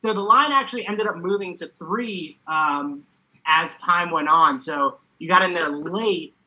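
Male podcast guest, sports betting talk. Very sharp, bright tone, hammy and casual. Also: fairly high pitch, a little slow, rough recording. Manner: playful, hammy, talks in informal slang. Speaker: recurring podcast guest giving betting picks.

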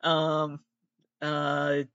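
Man, higher-pitched voice, casual reaction host, rambling, sardonic asides, sweary, slightly muffled lo-fi recording. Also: completely flat delivery, drawn-out words, a bit bright, clean tone. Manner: sardonic, sweary asides and muttered self-talk. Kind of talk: casual rambling reaction commentary, reading aloud from web articles. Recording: roomy, slightly dull lo-fi sound.

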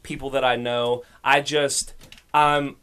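A man's voice: medium pitch, slow, smooth. A young man on a podcast, a musician guest chatting casually and telling stories animatedly. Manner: animated storyteller, acts out quotes.

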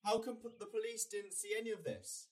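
A man's voice: high-pitched, a little fast, natural voice. A young man with a British accent acting a grieving, headstrong, insistent character in a radio drama. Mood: shocked, suspicious. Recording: distant voice under prominent music.

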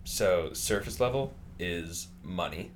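A man's voice: low-pitched, expressive, slow, slight background noise. A man in his twenties from California, talking casually about his work in a rambling way, with many fillers and restarts. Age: 20s. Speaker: interviewee. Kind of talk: casual conversation.

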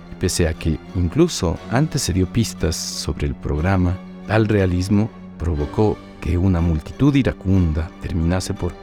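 A man's voice: low voice, mellow. A man reading scripted documentary narration. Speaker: guest narrator.

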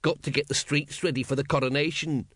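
A man's voice: on the high side, fairly even intonation, fairly quick, fairly bright tone, dry recording. A man voicing a cocky, proud street workman with offhand colloquial speech, as an audiobook character.

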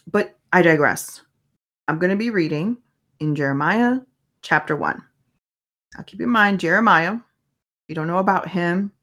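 A fairly low-voiced woman whose first language is Spanish talks through her own faith podcast. She sounds earnest and conversational, often stopping to ask herself questions as she goes. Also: fairly bright tone. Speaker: podcast host. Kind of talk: casual faith podcast monologue.

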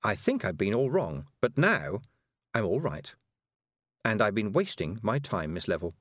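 A man's voice: lowish voice, sing-song, a little slow, a bit bright, no room sound, studio quality, full character voice. A man voicing a headstrong young girl witch, impatient and scornful. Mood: defiant.

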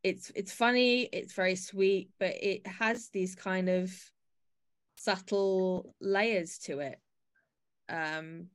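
A woman's voice: mid-range voice, fairly even intonation, slow pace, clear, resonant tone. A woman hosting a podcast, talking through a piece in a conversational discussion style. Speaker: podcast host.

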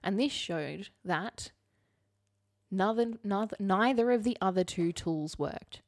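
A woman's voice: mid-range voice, slow. A woman co-hosting a podcast, chatting casually and summarising articles in an informal, explanatory way with wry asides. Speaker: podcast co-host.

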